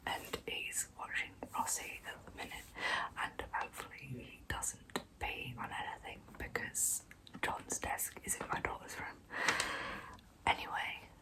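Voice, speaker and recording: Slow whispering woman, ASMR storyteller. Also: rough recording. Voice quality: breathy, unvoiced whisper. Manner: soft, deliberate, slow delivery. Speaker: ASMR video host and narrator.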